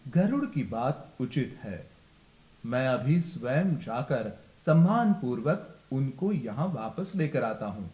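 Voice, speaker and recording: A man voicing a calm, gracious, dignified god in a mythological children's tale. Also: mid-range voice, slow pace, reverberant.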